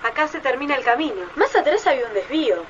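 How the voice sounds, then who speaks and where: A woman's voice: high voice, expressive, fast talker, muffled recording, background noise. An actress playing a woman travelling with a band of survivors in a radio drama. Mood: wondering, alert.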